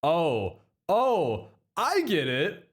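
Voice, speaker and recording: A man's voice: on the high side, drawn-out words, breathy edge. A young man streaming live, chatting with his chat and thanking subscribers, a little nasal from a head cold. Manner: chatty and excitable, reads out chat and thanks subs.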